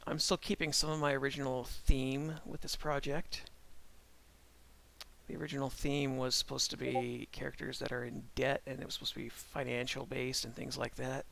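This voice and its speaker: A middle-aged man chatting casually on a live stream while he draws, thinking aloud in a calm voice with halting restarts. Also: mid-range voice, gravelly. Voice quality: calm-sounding voice. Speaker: streamer and animator.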